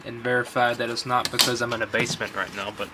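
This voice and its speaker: A man's voice: mid-range voice, steady tone, fast, very noisy. A young man talking casually and off the cuff, rambling informally as he shows items to viewers in a basement. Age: young adult. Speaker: host of a vintage electronics collecting channel. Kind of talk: casual unscripted show-and-tell vlog.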